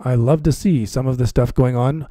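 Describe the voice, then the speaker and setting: A man's voice: mid-range voice, fairly even intonation, moderate pace, dark tone, no room sound, studio quality. A man hosting a live stream, commenting casually and chatting with viewers, often repeating phrases for emphasis. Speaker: livestream host and cryptocurrency trading commentator.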